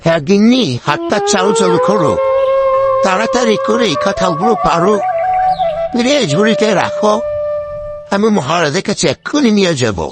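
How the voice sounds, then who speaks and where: A man voicing an eager, excitable village husband in an animal fable cartoon, with an exclamatory, giggly delivery. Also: high voice, moderate pace. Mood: excited, bossy. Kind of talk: cartoon voice acting. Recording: loud music behind the voice.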